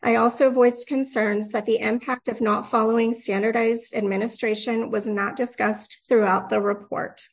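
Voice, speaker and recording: A woman's voice: fairly high pitch, fairly even intonation, poor audio. Congested woman reading a formal statement. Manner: formal, measured, clears throat. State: congested with a cold. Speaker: complainant at a board hearing.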